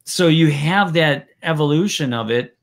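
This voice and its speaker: A man's voice: on the high side, unhurried. A man from Wisconsin with a Wisconsin accent, hosting a casual interview and rambling with frequent restarts. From Wisconsin, USA. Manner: rambling, restarts sentences often. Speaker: podcast host.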